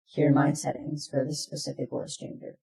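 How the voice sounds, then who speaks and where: A presenter narrating a software tutorial in plain, step-by-step instructions, recorded in an echoey room. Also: medium pitch, little pitch movement, a little fast, vocal fry.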